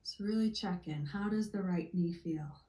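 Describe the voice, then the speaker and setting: Female meditation guide, mellow tone, slow soothing delivery with long pauses, echoey room. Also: lowish voice. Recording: roomy, echoey sound.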